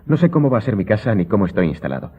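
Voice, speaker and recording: A man's voice: on the low side, sing-song, rapid-fire, husky. A man playing a grave, courteous, mysterious count in a radio drama, speaking formally and solemnly. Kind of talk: Spanish radio drama acting. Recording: muffled, phone-like lo-fi audio.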